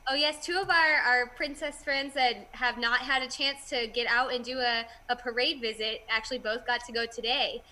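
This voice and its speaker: A woman's voice: fairly high pitch, fairly even intonation, moderate pace, hardly any pauses, sharp tone. A young woman, a guest who runs a party entertainment business, chatting casually and laughing often on a video call.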